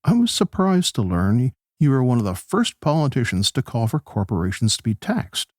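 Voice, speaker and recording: An actor playing a polite radio host who gently probes his political guests in a conversational interview style. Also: mid-range voice, big pitch swings, moderate pace, no room sound, studio quality, close to an everyday voice. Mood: polite, curious. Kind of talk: pseudo-radio play interview.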